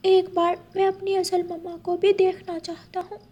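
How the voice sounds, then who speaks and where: A woman's voice: extremely high pitch, completely flat delivery, normal speed, warm tone, voice pushed up. A woman voicing an earnest young boy bird in a children's cartoon, with a pleading, childlike manner. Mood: hurt, pleading. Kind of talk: Hindi children's cartoon voice acting.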